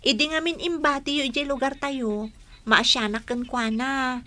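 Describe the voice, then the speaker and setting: A woman acting an inquisitive, sympathetic young friend in a radio drama, conversational and expressive. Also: high voice, moderate pace. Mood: sympathetic, probing.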